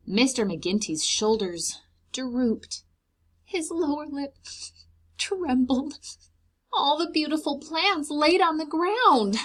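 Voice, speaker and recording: A woman reading a children's storybook aloud in an expressive way, explaining things simply to young children. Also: on the high side, slightly rough. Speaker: read-aloud host for preschoolers.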